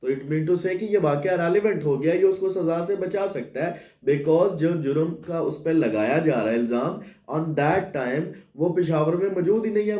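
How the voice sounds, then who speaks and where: Male Pakistani lecturer, slightly high soft-toned voice, explanatory question-and-answer delivery, echoey recording. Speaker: law lecturer. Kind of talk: lecture reading English clauses and translating into Urdu. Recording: echoey room sound.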